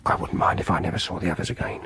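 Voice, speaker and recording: An actor playing a reserved, quietly insistent music lover in a British radio horror drama, speaking with a British accent in a formal, hesitant, measured way. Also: male voice, sits low, fairly even intonation, breathy edge, exaggerated voice. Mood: hesitant, confessing.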